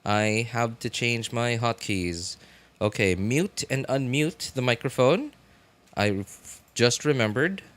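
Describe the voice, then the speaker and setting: A man's voice: mid-range voice, slow, fairly bright tone. A man chatting casually as a game streamer on a livestream, rambling and often searching for words. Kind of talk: casual livestream chat while gaming. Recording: game audio behind voice. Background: game music and sound.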